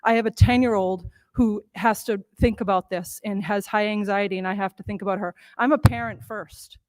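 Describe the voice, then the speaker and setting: A woman's voice: medium pitch, steady tone, normal speed, no echo, poor audio. A woman and parent speaking earnestly and firmly at a school committee meeting. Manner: earnest, personal, firm.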